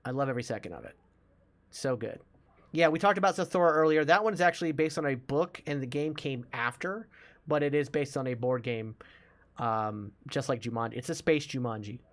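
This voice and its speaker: Male podcast host, medium pitch, conversational and keen to explain, unscripted chat. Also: a little fast. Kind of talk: casual movie discussion.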